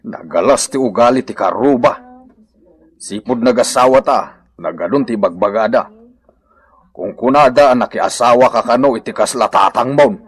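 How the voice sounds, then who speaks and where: An actor playing an ailing, grumbling elderly husband in an Ilocano radio comedy, with a raspy voice and an Ilocano accent. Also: male voice, medium pitch, normal speed, close to an everyday voice. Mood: complaining.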